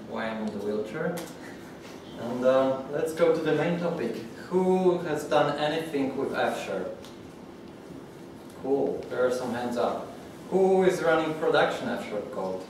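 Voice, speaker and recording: A young man from Poland giving a technical talk to a user group, halting and full of fillers and restarts. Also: mid-range voice. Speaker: conference speaker. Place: meeting room with audience. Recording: echoey, distant room mic with noise.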